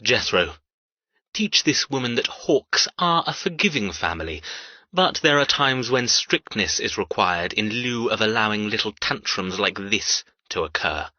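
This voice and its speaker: A man playing a cold, domineering older patriarch in an audiobook, raspy, with clipped, formal, commanding speech. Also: fairly low pitch, lively intonation, measured pace, sharp tone, no echo, highs cut off, heavily acted. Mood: stern menace. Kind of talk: dramatic audiobook character dialogue.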